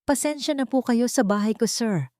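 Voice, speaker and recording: A woman's voice: a little high, normal speed, darkish tone, no echo, clean studio sound, pitched above the performer's own voice, close to an everyday voice. A woman voicing a shy, humble young housemaid who speaks politely and bashfully to her employer.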